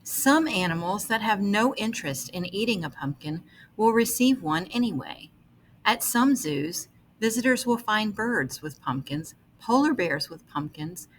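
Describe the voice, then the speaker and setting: Woman, slightly low voice, article read-aloud, deliberate phrasing, faint background noise. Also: fairly bright tone, rough recording. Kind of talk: scripted read-aloud narration.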